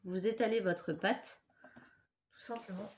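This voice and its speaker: A woman's voice: medium pitch, fairly even intonation, measured pace. A young woman with a cold and a stuffy nose, hosting a casual step-by-step craft tutorial and chatting apologetically while she works with her hands.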